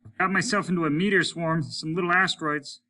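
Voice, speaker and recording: Man voicing an awestruck astronaut, high and bright. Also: fairly even intonation, brisk. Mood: awed, excited. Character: awestruck male astronaut drifting away in space. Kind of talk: dramatic audiobook character reading.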